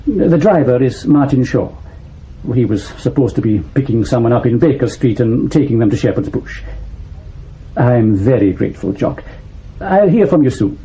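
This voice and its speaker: An actor playing a brisk, worried senior security officer in a radio spy drama, hesitant yet commanding and clipped. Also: male voice, mid-range voice, lively intonation, mellow, husky. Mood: concerned, brisk. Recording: dull radio drama sound, faint noise.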